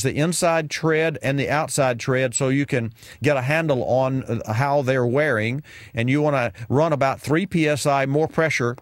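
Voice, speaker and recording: A middle-aged man hosts a call-in car show from a studio, speaking into a boom mic with headphones on. His delivery is loose and conversational, full of restarts and asides. The sound is dry and close, with no room around it. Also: no room sound. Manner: chatty, rambling, many fillers and restarts. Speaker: radio car show host. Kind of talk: call-in radio advice. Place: radio studio with colorful sign backdrop. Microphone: studio mic on boom arm, wearing headphones.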